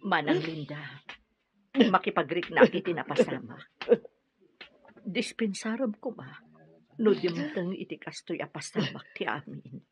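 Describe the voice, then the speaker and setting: A woman's voice: fairly low pitch, expressive, a little slow, pauses often. Humble, sorrowful mother, muffled audio. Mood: apologetic, sorrowful. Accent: Filipino. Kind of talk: Filipino radio drama acting. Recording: dull lo-fi radio audio with music.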